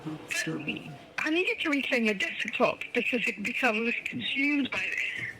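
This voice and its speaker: A woman's voice: on the high side, sing-song, a little slow. Effusive woman guest with noticeable background noise. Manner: effusive, emphatic, repeats phrases for emphasis. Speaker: interview guest, children's storytelling project founder. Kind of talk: enthusiastic radio interview answers.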